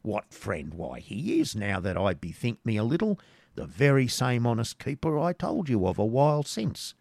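Here is a boisterous storybook friar, acted out by a male reader, boastful and fond of drink. He blusters in archaic English with a creaky voice, recorded dry. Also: medium pitch, very animated, moderate pace, darkish tone, no echo. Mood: flustered.

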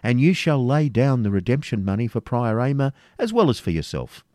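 A man's voice: medium pitch, very animated, brisk, warm tone, dry recording, clean studio sound, close to an everyday voice. A man voicing a commanding outlaw captain, grave and coolly wry, in a storybook reading.

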